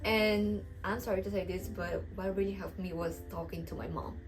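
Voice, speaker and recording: A woman's voice: medium pitch, fairly even intonation, normal speed, poor audio. A woman in her twenties from Brazil, a native Portuguese speaker talking in English with a Brazilian accent, giving earnest, encouraging advice in a casual vlog. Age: 20s. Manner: earnest, encouraging, candidly personal. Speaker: vlogger. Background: background music.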